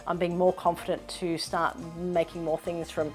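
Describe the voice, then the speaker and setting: A woman's voice: on the low side, level tone, normal speed, a little mellow, faint music behind. A woman in her thirties with a mainly Australian accent touched by British and American sounds, chatting upbeat in a sewing Q&A vlog. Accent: Australian with British and American traces. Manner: chatty, upbeat, self-reflective. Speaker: sewing vlogger. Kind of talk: casual Q&A vlog.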